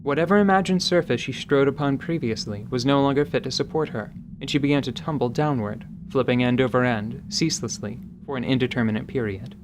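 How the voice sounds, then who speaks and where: Man narrating fantasy over clear background ambience. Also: mid-range voice, noisy background. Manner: measured, literary, deliberate phrasing. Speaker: narrator of an audio drama. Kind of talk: scripted dramatic fantasy narration.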